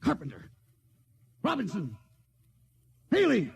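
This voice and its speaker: A man's voice: high voice, huge pitch swings, takes it slowly, creaky, heavily acted. A man playing a desperate, embattled starship captain in an old radio sci-fi drama, with urgent, emotional command. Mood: frantic, shouting. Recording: noisy old radio recording.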